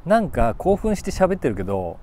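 A man in his forties talking casually about a book, thinking aloud and reading short lines with animated emphasis. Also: a little high, fairly quick, slight background noise. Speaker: YouTube host, voice actor. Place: outdoors on a city rooftop.

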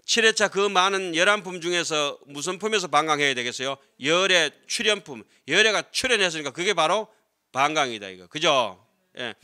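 Middle-aged male monk lecturer, bright ringing voice, slightly high, animated question-and-answer delivery at a desk mic. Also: brisk. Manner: animated, asks the class questions and answers them himself. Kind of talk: classroom lecture on scripture. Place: lecture hall with seated students. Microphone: desk microphone on stand.

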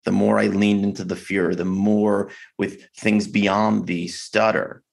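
A man speaking candidly as a podcast guest over a video call, reflective and halting, with many fillers and restarts. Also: on the low side, flat delivery, creaky. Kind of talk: candid conversation.

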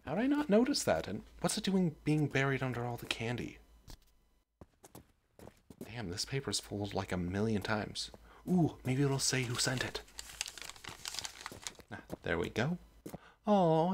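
A young man acting a sweet, oblivious college best friend in a roleplay audio drama, chatty, boyish and expressive. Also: mid-range voice, a little slow, long pauses, slight background noise. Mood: curious.

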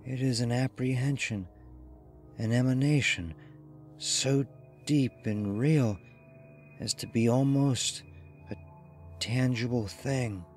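Guy doing a dreary hopeless dead man in a horror audio tale, really slow and halting, faint music behind. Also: medium pitch, creaky, pitched above the performer's own voice. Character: dead man entranced, dreary, despairing, hearing terror approach.

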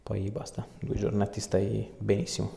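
A man's voice: fairly low pitch, monotone, moderate pace, a crowd in the background. A man in his thirties, a chiropractor in a small treatment room, giving a patient short, informal hands-on instructions. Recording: roomy echo, clear background noise, clicks.

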